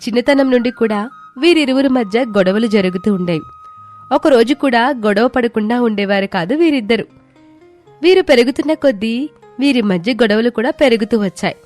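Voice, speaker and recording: Female storyteller, scripted narration, fairly high voice, light music behind. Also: expressive, moderate pace, a little mellow.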